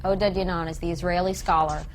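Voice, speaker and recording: An American woman giving earnest, emphatic political commentary as an activist in an interview, phrasing her points deliberately. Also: fairly low pitch, steady tone. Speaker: peace activist being interviewed.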